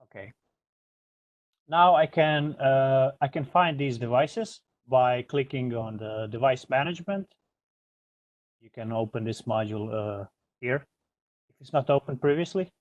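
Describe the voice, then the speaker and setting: A man giving an informal software tutorial as a product trainer, explaining step by step in a hesitant way. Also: medium pitch, measured pace, pauses often, no echo, highs cut off.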